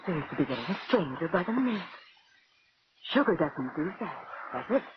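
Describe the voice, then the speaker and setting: An actress playing a cool, scheming wife in a 1940s radio drama. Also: female voice, low voice, very animated, moderate pace. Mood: cool, taunting. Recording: muffled old radio broadcast, hiss.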